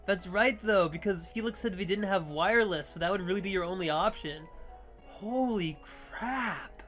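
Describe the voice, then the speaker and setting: A woman's voice: mid-range voice, moderate pace, fairly bright tone, faint music behind. A woman hosting a let's play, giving casual, rambling, jokey commentary on a visual novel. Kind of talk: casual game commentary with character reading.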